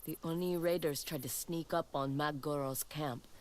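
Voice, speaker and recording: A woman's voice: low-pitched, steady tone, moderate pace, close to an everyday voice. A woman playing a blunt, hard-edged wandering warrior in a video game, speaking tersely. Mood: wary, blunt. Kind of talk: video game dramatic acting.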